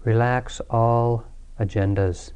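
A man leading a guided meditation as a teacher, speaking calmly and soothingly with long pauses. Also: medium pitch, clean tone, muffled recording. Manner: calm, unhurried, soothing delivery with long pauses.

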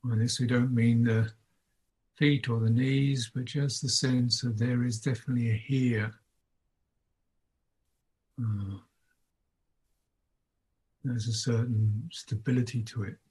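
Man, meditation teacher, very slow pace, husky tone, contemplative, long pauses, unscripted guidance. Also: medium pitch, steady tone, a little mellow, low-quality recording. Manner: unhurried, contemplative, long pauses between short phrases. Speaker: Buddhist monk, meditation teacher. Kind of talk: unscripted guided meditation talk.

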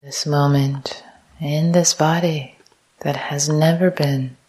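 Casting: female meditation teacher, low register, very slow and soft, breathy near-whisper, calm repeated phrases. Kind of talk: guided meditation. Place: meditation retreat talk.

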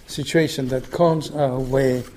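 Older man, slow reflective delivery, mellow voice. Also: medium pitch, fairly even intonation. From Argentina. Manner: slow, reflective, frequent restarts. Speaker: meditation group teacher. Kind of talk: dharma talk.

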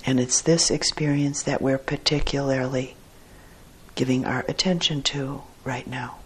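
A woman teacher guiding a meditation in a measured, deliberate way with long pauses and a husky, soft-edged voice. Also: extremely low pitch, fairly even intonation, slight background noise, poor audio. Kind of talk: guided meditation instruction.

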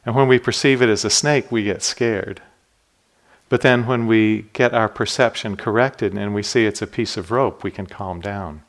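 Calm man giving a reflective dharma talk. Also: fairly low pitch, normal speed. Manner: calm, reflective, asks the listeners questions. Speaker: meditation teacher. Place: retreat center hall.

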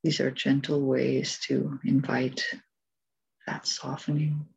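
Slow, mellow, low-voiced woman guiding meditation. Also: flat delivery, vocal fry, breathy edge, rough recording. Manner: gentle, measured instruction with long pauses. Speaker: meditation teacher. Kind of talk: guided meditation.

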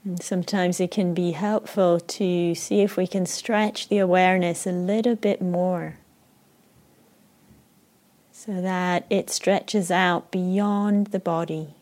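A woman with an Israeli accent guides a meditation in a slow, soft, unhurried voice. Her tone sits fairly low and stays flat and even, with long pauses between phrases. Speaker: meditation teacher.

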